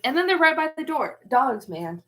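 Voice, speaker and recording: A woman's voice: high voice, big pitch swings, normal speed, a bit bright. Young female streamer, chatty and meandering, reads chat aloud. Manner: rambling, trails off searching for words. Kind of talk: casual livestream chat.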